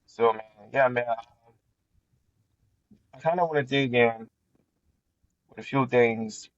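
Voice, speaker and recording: A man's voice: medium pitch, slow, dry recording. A man hosting a podcast, speaking casually with many hesitations and slang. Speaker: podcast host. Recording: dry, dull lo-fi sound.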